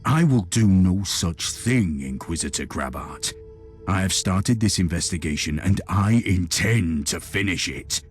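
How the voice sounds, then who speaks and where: A commanding inquisitor in a dark sci-fi game cutscene. He speaks formally and with measured authority, his intonation swinging widely. Faint music runs behind him. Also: deep voice, animated. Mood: defiant, negotiating. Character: commanding, calculating male inquisitor of a grim sci-fi empire. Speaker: game character. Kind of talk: dramatic video game cutscene acting.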